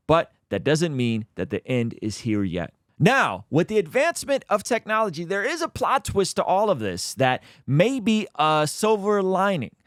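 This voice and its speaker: A man's voice: a little high, no room sound, clean studio sound. A man from Azerbaijan hosting his own channel, giving earnest, emphatic commentary straight to his viewers. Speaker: YouTube host. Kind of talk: faith-based commentary on current events.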